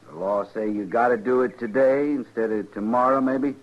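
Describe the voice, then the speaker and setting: An actor playing a rancher's quiet, conciliatory grown son with a rural American accent in radio western style. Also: male voice, medium pitch, moderate pace, natural voice. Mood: guarded, earnest. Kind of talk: 1950s radio western acting. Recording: dull, noisy vintage radio recording.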